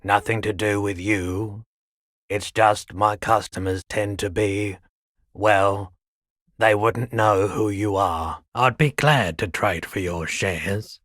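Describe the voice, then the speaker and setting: A weary, haggard man who keeps a pawnshop for a video game. His voice sits on the low side and moves very slowly. He is measured and resigned, and the recording is dry and close. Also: fairly even intonation, a bit bright, no echo. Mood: firm, matter-of-fact. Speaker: shopkeeper. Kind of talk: video game character dialogue.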